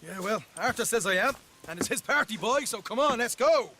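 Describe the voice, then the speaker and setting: An actor playing a cocky, talkative young Irish outlaw in a video game, with boisterous, teasing delivery. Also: male voice, high voice, animated, fairly quick, background noise. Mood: cocky, eager. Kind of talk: video game cutscene acting. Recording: loud music over dialogue.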